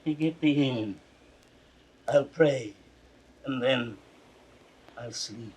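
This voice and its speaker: A man's voice: medium pitch, extremely slow, darkish tone, breathy edge, low-quality recording. An actor playing a proud, anguished old king slipping toward madness, grave and declamatory in classical Shakespearean style. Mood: weary pity. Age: older. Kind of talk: classical Shakespearean audio drama acting. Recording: hissy vintage 1960s drama recording.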